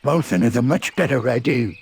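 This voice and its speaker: Boisterous storybook tiger: husky, animated, chummy, brash. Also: male voice, mid-range voice, fairly quick. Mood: insistent. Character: bouncy, boastful, brash male toy tiger. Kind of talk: children's storybook read-aloud.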